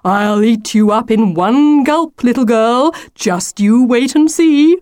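A woman's voice: a little high, lively intonation, takes it slowly, darkish tone, no echo, studio quality, voice pushed up. Woman putting on a pompous, swooping storybook wolf. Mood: menacing, exasperated. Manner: grandiose, emphatic, wheedling old-fashioned phrasing. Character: pompous, theatrical, insistent storybook wolf, male, easily dismayed.

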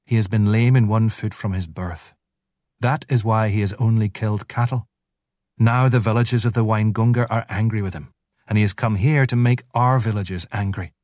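A man reading a quiet, wise mother wolf. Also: on the low side, no room sound, studio quality, voice pushed up, natural voice. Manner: quiet, bitter irony.

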